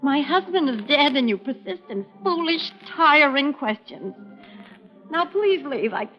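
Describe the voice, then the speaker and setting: A haughty, high widow. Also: female voice, big pitch swings, a little slow, faint music behind. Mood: indignant. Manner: haughty, exasperated. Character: indignant young widow. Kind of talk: 1950s radio drama acting. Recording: dull old radio broadcast.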